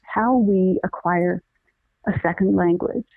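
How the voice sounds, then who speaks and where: A woman's voice: on the low side, gravelly, dry recording. Earnest woman explaining over a tinny phone line. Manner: earnest, many restarts, deliberate explaining. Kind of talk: conversational interview, explaining.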